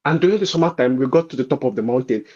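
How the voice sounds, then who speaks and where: A man's voice: medium pitch, expressive, rapid-fire, warm tone, highs cut off. A man chatting casually and telling anecdotes, often repeating himself and acting out quoted remarks. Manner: informal, frequent repeats and quoted asides. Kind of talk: casual conversational storytelling.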